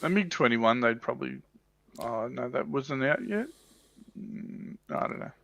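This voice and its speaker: A man's voice: medium pitch, lively intonation, a little slow, vocal fry, fairly bright tone, dry recording. A man co-hosting a hobby livestream, chatting informally and thinking aloud. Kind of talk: casual hobby chat. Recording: dull lo-fi close dry sound.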